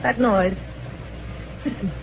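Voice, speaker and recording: A woman's voice: medium pitch, slow, gravelly, dull audio, noisy background. An actress playing a tired, anxious young woman in vintage radio drama, on an old recording. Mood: anxious, insistent. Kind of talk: 1950s radio drama acting.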